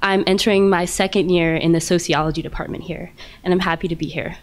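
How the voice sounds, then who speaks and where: A woman from Michigan, a graduate student, giving a friendly, unhurried self-introduction. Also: fairly low pitch, fairly even intonation, noisy background.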